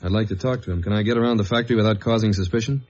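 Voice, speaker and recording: An actor playing a dry, steady undercover investigator with an American accent, in the style of 1950s radio detective drama. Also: male voice, lowish voice, rapid-fire, close to an everyday voice. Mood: measured. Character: undercover investigator man narrating his case, dry and steady. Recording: muffled old radio recording with music.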